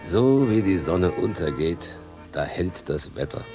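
Deep-voiced, brooding village farmer. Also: male voice, lively intonation, a little slow, music in the background. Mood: wistful, brooding. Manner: ruminative, earthy, plain-spoken. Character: fifty-year-old male village farmer, wistful, brooding father. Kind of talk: German radio drama acting.

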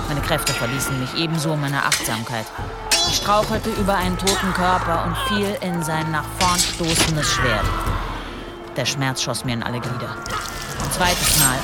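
A woman's voice: sits low, natural voice. Actress as battle-hardened medieval warrior woman, music underneath. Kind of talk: dramatic first-person narration in an audio drama.

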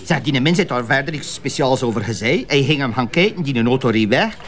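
A man's voice: mid-range voice, animated, brisk, slight background noise, lightly acted. An actor with a Flemish accent playing an eager, slightly dim policeman in a radio detective drama, chatty, hesitant and defensive.

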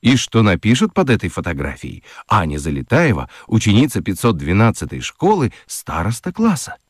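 A man narrating a children's radio play in an expressive storytelling manner. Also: fairly low pitch, moderate pace, no echo, clean studio sound.

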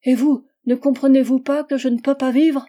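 A woman reading the part of a desperate, ashamed young married woman, in a high, anguished pleading voice. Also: steady tone, normal speed, mellow. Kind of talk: audiobook dialogue reading.

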